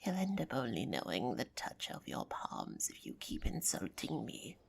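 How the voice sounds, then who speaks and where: A woman playing a sly elderly woman in a fantasy audio drama, with a husky, creaky voice and a drawn-out, theatrical delivery. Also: rumbling low, sing-song, whispered, heavily acted. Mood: sly, mocking. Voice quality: creaky, husky, breathy old voice. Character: sly elderly woman, a henchwoman's disguise, feigning kindness.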